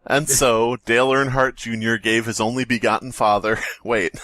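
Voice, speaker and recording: A man's voice: mid-range voice, flat delivery, moderate pace, fairly bright tone, no echo. A man co-hosting a comedy podcast, riffing casually with sarcasm and frequent swearing. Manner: profane, sarcastic, mock announcing. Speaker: podcast co-host.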